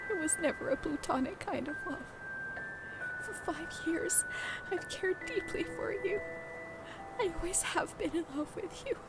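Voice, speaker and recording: A woman's voice: very high voice, lively intonation, a little slow, low-quality recording. A woman voicing a young remorseful heroine, emotional and pleading. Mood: tearful. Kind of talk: fan audio drama acting. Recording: music underneath.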